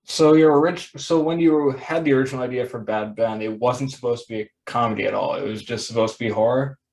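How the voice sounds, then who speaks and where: A man's voice: mid-range voice, fast, creaky, a little mellow, poor audio. A young man hosting a casual interview, easygoing and leaning on lots of "like" fillers. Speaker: podcast host.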